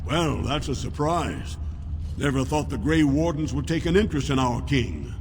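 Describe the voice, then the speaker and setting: A man's voice: medium pitch, animated, normal speed, a little hiss or hum, lightly acted. An actor playing an officious dwarf master of arena fights in a video game, formal in his delivery. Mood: brisk, officious. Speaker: arena announcer and registrar. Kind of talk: video game dialogue acting. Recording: long reverb, music behind.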